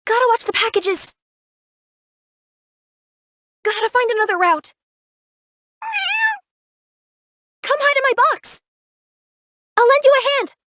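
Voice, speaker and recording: A woman's voice: very high voice, lively intonation, fairly quick, bright, forceful tone, full character voice. A woman voicing a cheerful, curious young female cat yokai who works as a package courier in a video game, earnest and bubbly in manner. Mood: excited, perky. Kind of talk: English video game character voice acting.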